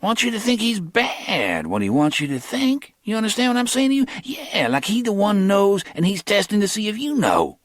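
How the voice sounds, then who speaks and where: A high, lively voice plays a streetwise young hustler, quick and clipped in his street talk, boastful and swinging all over in pitch. The reading is close and dry. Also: no room sound. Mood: animated. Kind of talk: audiobook character reading.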